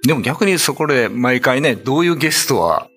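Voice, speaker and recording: A man's voice: mid-range voice, lively intonation, fairly quick, fairly bright tone, breathy edge, soft background music. A man co-hosting a talk show in a studio, chatting casually and readily chiming in to agree. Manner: responsive, frequent agreeing interjections. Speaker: show co-host, narrator and voice performer.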